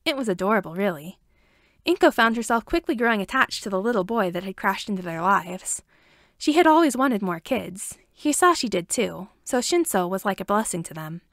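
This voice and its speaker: A woman's voice: medium pitch, big pitch swings, fairly quick, fairly bright tone, no echo, clean studio sound. A woman reading a story aloud as an amateur audiobook narrator, in scripted narration.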